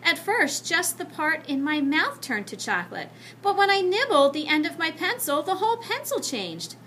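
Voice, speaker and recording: A woman's voice: extremely high pitch, very animated, moderate pace, bright, forceful tone, voice pushed up, heavily acted. A woman voicing an earnest, aggrieved schoolboy in a children's storybook, with a pleading, protesting manner. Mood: pleading, reproachful. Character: earnest, aggrieved schoolboy who turns things to chocolate.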